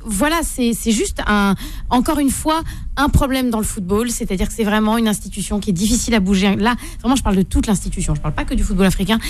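Fast-talking woman radio pundit. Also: medium pitch, a little hiss or hum. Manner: rapid, restarts often, lively. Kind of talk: radio panel discussion.

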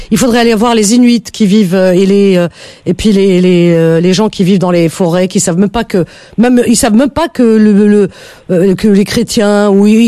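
A woman's voice: medium pitch, dark tone, dry recording. A woman hosting a late-night call-in radio show, her voice close and dry at a studio microphone. She talks in a chatty, emphatic way and stacks repeated words when something bothers her. The tone stays conversational.

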